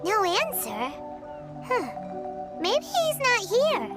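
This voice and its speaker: A woman's voice: very high-pitched, wildly swooping pitch, slow pace, full character voice. A woman voicing a tiny, cheeky, childlike fairy companion in a video game. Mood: curious, skeptical. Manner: speaks of herself in the third person. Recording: prominent tinkling music behind the voice.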